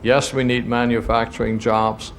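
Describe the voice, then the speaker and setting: Man, interview remarks, middle pitch, faint ambient noise behind. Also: level tone, normal speed, fairly bright tone.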